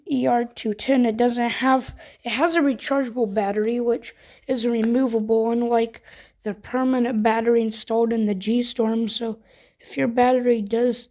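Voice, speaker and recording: A woman with a fairly high voice gives a casual, hands-on product demonstration. She explains each step informally, as a reviewer showing how things work. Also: warm tone.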